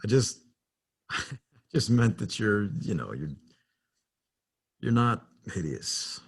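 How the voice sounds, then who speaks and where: A man acting as a teasing, awkwardly smitten guy in romantic banter. His voice is gravelly and swings animatedly, with a casual, halting delivery. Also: fairly low pitch, very animated, full character voice. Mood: flustered. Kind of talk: table read of a screenplay, romantic banter.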